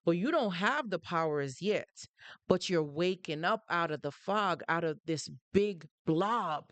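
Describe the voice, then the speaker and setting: A woman's voice: fairly low pitch, lively intonation, a little slow, fairly bright tone, no echo. A woman with a Jamaican accent hosting a casual livestream and speaking directly to her viewers. She is emphatic, repeats her points, and breaks off to greet people who join. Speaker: livestream host.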